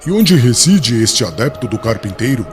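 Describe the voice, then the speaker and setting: A man's voice: medium pitch, big pitch swings, normal speed, soft background music, lightly acted. A man playing a young religious inquisitor, formal, commanding and coldly cruel, in a dramatized audiobook. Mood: harsh questioning.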